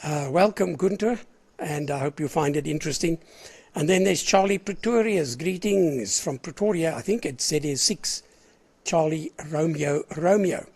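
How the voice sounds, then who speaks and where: Hoarse older South African man. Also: on the high side, normal speed, darkish tone. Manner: chatty and rambling, clears his throat often. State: hoarse with a cold. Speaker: net controller of an amateur radio net. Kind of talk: casual on-air net chat.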